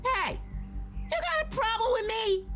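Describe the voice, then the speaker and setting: Woman playing a high-pitched, pugnacious hothead. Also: animated, a little slow, pushed and bright, soft background music, full character voice. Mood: combative. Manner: brash, tough street talk. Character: hot-headed, pugnacious personified Anger, spoiling for a fight. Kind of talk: audio drama acting.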